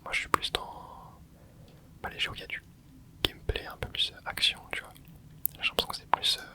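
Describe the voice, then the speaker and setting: A young adult ASMR host whispering casual, rambling chat while playing a video game, with many hesitant fillers. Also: a little fast. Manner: soft unvoiced whisper throughout. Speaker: ASMR gaming channel host. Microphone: close mic.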